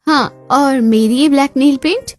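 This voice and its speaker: A woman's voice: high-pitched, a little slow, soft background music, voice pushed up. A woman voicing a vain college-age sister-in-law in a cartoon, girlish and gushing. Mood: breezy, pleased.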